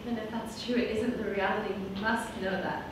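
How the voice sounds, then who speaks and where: Actress as a distant, echoey, dramatic villainess. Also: female voice, medium pitch, fairly even intonation, a little fast, lightly acted. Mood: doubting, defensive. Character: self-justifying female villain who disowned her Mexican heritage. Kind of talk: theatrical monologue in a comic stage musical. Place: small indoor theatre stage, far camera. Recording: echoey stage from far off, audience noise.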